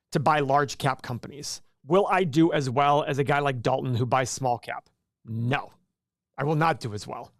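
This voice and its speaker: A man's voice: a little high, no room sound, clean studio sound. A man born in Iraq co-hosting a stock market livestream, talking casually and rambling, repeating words and acting out his own thoughts. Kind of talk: casual investing talk on a livestream.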